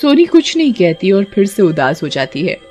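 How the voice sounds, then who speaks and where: A woman's voice: medium pitch, big pitch swings, moderate pace, darkish tone. A woman narrates a scripted story for children. She works as the storyteller between scenes, with faint music playing underneath.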